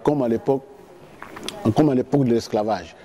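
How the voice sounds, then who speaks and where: A man giving a grave, emphatic political address in deliberate phrases. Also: medium pitch, warm tone. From Democratic Republic of the Congo. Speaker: political activist.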